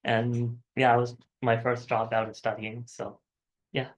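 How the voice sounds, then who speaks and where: A young woman and software engineer introducing herself on a panel, hesitant and full of fillers. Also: rumbling low, flat delivery, unhurried, poor audio.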